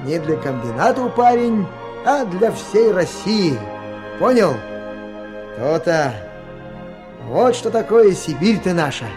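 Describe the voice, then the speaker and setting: High, animated, folksy timber-rafter. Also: male voice, slow pace, long pauses, music in the background, exaggerated voice. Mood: proud, instructive. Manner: folksy, musing, colloquial. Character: folksy, proud Siberian timber-rafter, fatherly philosopher.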